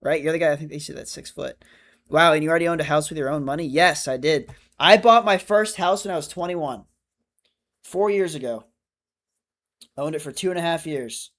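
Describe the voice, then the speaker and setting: Male streamer, 25, casual chatty delivery, slightly raised pitch. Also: fairly quick, long pauses, fairly bright tone. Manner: chatty, rambling, self-correcting. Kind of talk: casual livestream chat reading comments.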